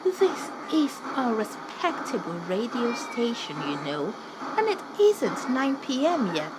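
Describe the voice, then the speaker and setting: High, imperious, hot-tempered Mrs Claus. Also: female voice, sing-song, a little slow. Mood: haughty, indignant. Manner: haughty, commanding, dramatic. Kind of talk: radio play acting. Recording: clear background noise.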